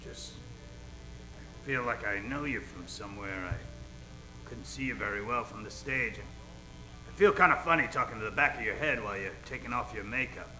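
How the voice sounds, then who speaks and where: A man playing an easily flustered hard-boiled private eye with a halting, deadpan delivery, in old-time radio noir parody style. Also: fairly low pitch, over music, close to an everyday voice, rough recording. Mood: flustered, probing.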